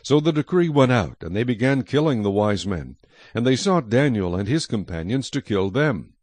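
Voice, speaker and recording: A man narrating scripture from a script in a measured, solemn manner. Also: medium pitch, big pitch swings, a little mellow, dry recording. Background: faint music.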